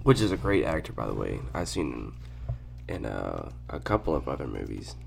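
A man's voice: rumbling low, very animated, normal speed, vocal fry, a little hiss or hum. A man chatting casually as a podcast guest, slangy and repeating phrases for emphasis. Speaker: podcast guest, content creator. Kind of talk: casual conversational chat.

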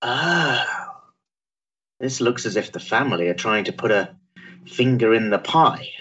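A man plays a bluff Victorian doctor in a period play. He is husky and lively in pitch, with a dry, wry way of talking. The sound is slightly dull. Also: unhurried, exaggerated voice. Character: blunt, dry-humoured Victorian army physician, middle-aged man. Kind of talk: period stage drama acting.

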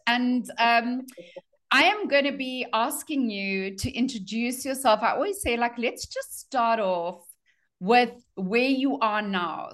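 A woman's voice: on the high side, a bit bright. Chatty woman podcast host. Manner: enthusiastic, chatty. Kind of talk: podcast interview hosting.

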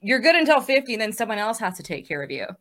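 A woman's voice: a little high, big pitch swings, racing, fairly bright tone. A woman in her thirties moderating a casual online panel, chatty and upbeat, laughing easily between questions. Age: 30s. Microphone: video call.

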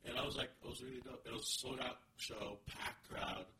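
A man's voice: mid-range voice, fairly quick, breathy edge. A man co-hosting a comedy podcast, chatting casually in a rambling way with many restarts. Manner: rambling, restarts a lot, animated. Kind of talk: casual banter.

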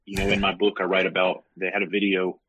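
A man's voice: low-pitched, monotone, fast talker, fairly bright tone, slightly rough, dry recording, poor audio. A man in his forties with a Southern US accent, from South Carolina, telling folksy, joking stories as an interview guest. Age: 40s. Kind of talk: casual interview chat.

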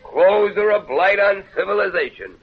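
An actor in a 1930s radio drama playing an exasperated, dry-witted minder who scolds like a long-suffering friend. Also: male voice, high voice, normal speed, slightly rough. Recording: tinny, phone-like 1930s radio broadcast.

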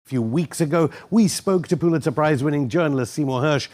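A man's voice: a little high, fast talker, darkish tone. A man hosting a news interview, moving between scripted introduction and questions to his guest. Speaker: interviewer, host.

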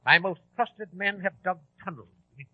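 Very high-pitched, fanatical conspiracy leader. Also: male voice, moderate pace, breathy edge, no room sound. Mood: brisk, conspiratorial. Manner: declamatory, domineering. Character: fanatical male renegade leader of secret society. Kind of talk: 1940s radio acting. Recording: dull, lo-fi old radio recording.

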